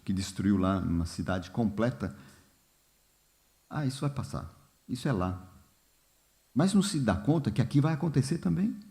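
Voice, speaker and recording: A man leading a church Bible study in a relaxed, informal way, often pausing with fillers and asking the congregation questions. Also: medium pitch, creaky. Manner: unhurried, many fillers and "né?", asks the congregation questions. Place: church hall.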